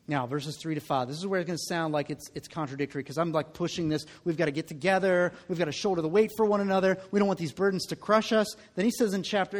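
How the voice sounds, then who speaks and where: High-voiced man in his thirties preaching. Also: big-room sound. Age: 30s. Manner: conversational preaching, repeats words when starting phrases. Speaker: pastor. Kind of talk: sermon.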